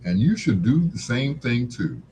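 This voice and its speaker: An older man hosting a music livestream, chatting casually in a laid-back, folksy, unhurried way. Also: medium pitch, very animated, warm tone, slight background noise, poor audio. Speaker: livestream music show host.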